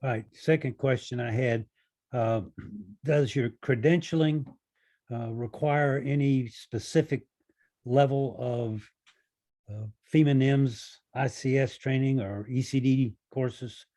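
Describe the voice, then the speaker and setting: A man with a mellow, dark voice at a middling pitch puts technical questions to a speaker. His delivery is deliberate and halting. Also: steady tone. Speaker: audience questioner.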